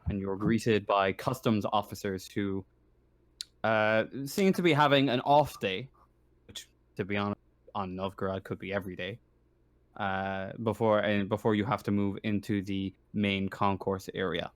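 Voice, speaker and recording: Low, clear-voiced male game master. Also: dry recording. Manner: halting, often rephrasing himself. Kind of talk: improvised tabletop game narration.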